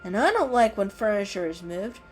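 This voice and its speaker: A woman's voice: mid-range voice, very animated, normal speed, soft background music, natural voice. A woman voicing a candid, timid but loyal little house cat in an earnest manner for a children's story. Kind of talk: dramatic children's story reading.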